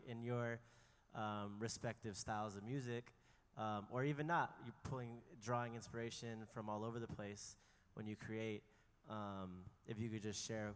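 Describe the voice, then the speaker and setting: A man's voice: on the low side, clear, resonant tone. A man moderating a panel, asking questions in a casual, rambling way. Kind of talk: casual unscripted interviewing. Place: hall at a music conference panel. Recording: echoey hall with long reverb.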